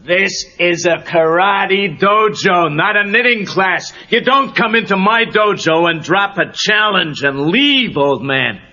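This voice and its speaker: An actor playing a merciless, contemptuous karate sensei with an American accent, commanding and sneering in film dialogue. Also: male voice, high-pitched, animated, slow, few pauses, bright, forceful tone, heavily acted. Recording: dull lo-fi cassette tape.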